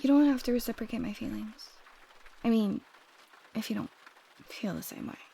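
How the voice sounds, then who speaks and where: A woman playing an affectionate, shyly teasing young roommate in an intimate ASMR roleplay, speaking softly and often dropping to a whisper. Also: on the high side, moderate pace, vocal fry, slightly rough. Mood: nervous, earnest.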